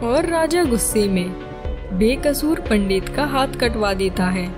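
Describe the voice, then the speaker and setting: Slow, high-voiced woman storyteller. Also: animated. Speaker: narrator. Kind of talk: scripted storytelling narration for a moral cartoon. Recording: music behind the voice.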